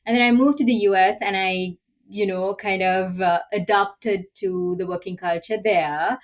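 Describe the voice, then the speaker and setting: A woman's voice: medium pitch, steady tone, moderate pace. A middle-aged woman from Sri Lanka, a guest executive coach answering interview questions in a candid, reflective way and often ending points with "right?".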